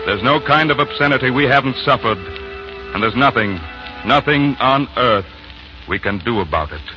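An actor playing a grave, bitter narrator of an occupied homeland in a 1940s radio drama, speaking in a deliberate, rhetorical way. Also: male voice, mid-range voice, expressive, muffled recording, natural voice. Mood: solemn, bitter. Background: music.

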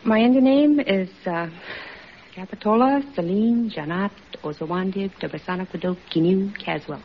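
A woman with an average-pitched voice answers a quiz host's questions as a contestant. It is an old radio recording, muffled and faintly noisy. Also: moderate pace. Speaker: contestant, housewife. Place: radio studio before an audience.

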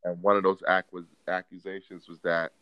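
An American man co-hosts a casual music podcast. His voice sits low and sounds dry, with no room around it. He talks in relaxed slang, measured and a little teasing. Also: clear, resonant tone, no room sound, dull audio. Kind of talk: casual hip-hop debate chat.

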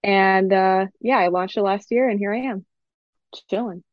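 A woman from Miami, an attorney guest on a podcast, speaking in a relaxed way with many fillers. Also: mid-range voice, moderate pace, no echo. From Miami, United States. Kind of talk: casual interview chat. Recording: dull, dry lo-fi recording.

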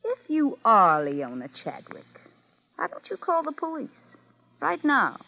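A woman's voice: high-pitched, wildly swooping pitch, a little slow, creaky, pushed and bright, full character voice. A woman playing a guarded, nervy young impostor hiding a crime, with tense control, in an old-time radio drama. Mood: challenging, alarmed. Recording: muffled old radio recording.

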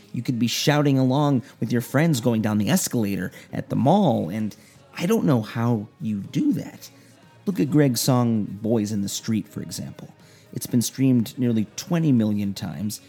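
A man's voice: medium pitch, creaky, mellow, breathy edge. A man hosting a radio show, talking in an enthusiastic, conversational way with playful asides. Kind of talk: scripted intro and interview.

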